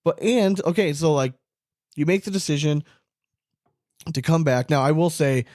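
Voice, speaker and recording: A man co-hosting a casual sports podcast, talking in an animated, rambling way and swearing freely for emphasis. His voice sits a touch high for a man. It sounds dry and close on the mic. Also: normal speed, dry recording. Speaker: podcast co-host.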